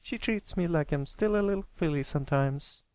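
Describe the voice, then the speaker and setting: A spirited young farm filly from a cartoon pony tale, read by a man. Her voice is raised high and girlish, with a folksy little-sister manner. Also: moderate pace, no echo, close to an everyday voice. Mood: grumbling.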